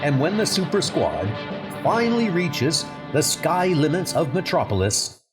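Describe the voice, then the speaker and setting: A man's voice: fairly high pitch, sing-song, unhurried, music in the background. A man giving dramatic scripted narration for a comic book dub.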